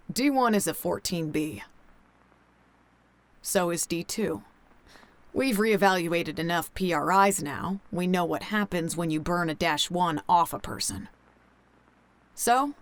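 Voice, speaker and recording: An actress playing a tired, blunt scientist in an audio drama, talking in a terse, deadpan, conversational way. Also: female voice, on the low side, gravelly. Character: tired, blunt female scientist doctor, dryly uneasy.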